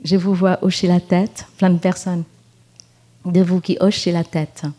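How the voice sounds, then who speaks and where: A Buddhist nun from Vietnam speaking French with a Vietnamese accent, giving a gentle, unhurried dharma talk at a retreat, with pauses and fillers. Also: female voice, fairly low pitch, level tone. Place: meditation hall at a retreat.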